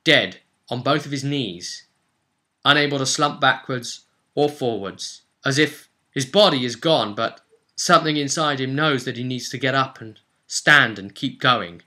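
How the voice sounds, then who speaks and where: Bright, forceful man narrating fantasy role-play. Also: medium pitch, unhurried. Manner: intense, emphatic, repeating phrases for drama. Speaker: game master and storyteller.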